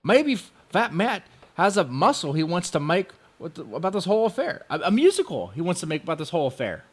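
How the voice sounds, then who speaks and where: A man's voice: high-pitched, huge pitch swings, fast talker, husky, pitched above the performer's own voice, exaggerated voice. A man voicing an aggrieved, spiteful blogger in a whiny, exaggerated, indignant dramatic reading. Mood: scornful. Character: aggrieved, spiteful male blogger ranting about his enemies.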